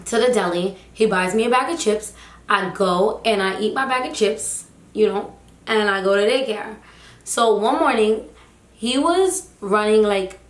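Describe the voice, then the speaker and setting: A young woman telling a casual storytime vlog, chatty and animated with lots of fillers, her voice slightly hoarse. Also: mid-range voice. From United States, Haitian family. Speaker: vlogger, teacher. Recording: echoey room.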